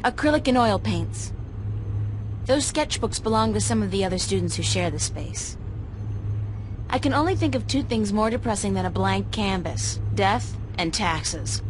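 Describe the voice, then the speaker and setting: A woman's voice: medium pitch, background noise, natural voice. An actress playing a wry, thoughtful young female art student in a video game, talking in a conversational way. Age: young adult.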